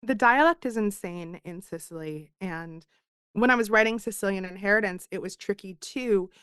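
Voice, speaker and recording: A woman's voice: mid-range voice, normal speed, fairly bright tone, clear, resonant tone, no echo, studio quality. A woman in her thirties from Philadelphia, a guest author talking in a casual podcast interview, chatty and self-deprecating as she tells stories.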